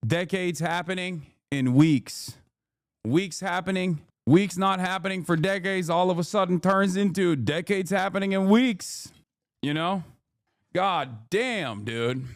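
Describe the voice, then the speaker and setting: A Texan man in his thirties riffing on a live stream, excitable and foul-mouthed as he rambles from one thought to the next. His voice is smooth and resonant, recorded close and dry with no room around it. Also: high voice, unhurried, no room sound. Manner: hyped, profane, rambling banter. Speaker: streamer host.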